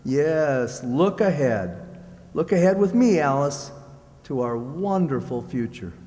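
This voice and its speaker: A man's voice: on the high side, animated, mellow. Man acting an earnest, lilting storybook lad. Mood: dreamy. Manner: halting, stammering. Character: humble, earnest, lovestruck young lad from folktale. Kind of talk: stage-like radio play acting. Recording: echoey hall.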